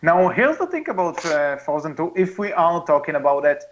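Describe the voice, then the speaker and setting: A man co-hosting a casual movie podcast, talking emphatically and haltingly, often restarting and repeating his phrases. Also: fairly high pitch, very animated, muffled recording. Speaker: podcast co-host. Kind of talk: casual movie discussion with friends.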